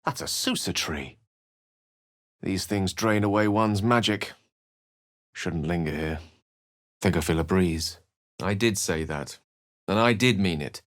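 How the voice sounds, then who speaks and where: An actor playing an erudite, urbane wizard with a dry wit and a theatrical, articulate way of speaking in a video game. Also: male voice, deep voice, unhurried, pauses often. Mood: wry, conversational. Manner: theatrical, articulate, formal wording. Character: eloquent, erudite male wizard, urbane and dryly witty. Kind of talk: video game character dialogue.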